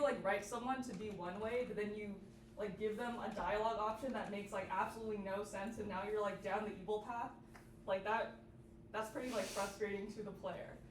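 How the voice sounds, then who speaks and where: A young woman giving an informal workshop presentation in a casual manner, sprinkling "like" through her sentences. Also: mid-range voice. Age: young adult. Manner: casual, peppered with "like". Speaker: student club workshop presenter. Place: indoor workshop room with audience. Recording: echoey room, distant mic, noisy background.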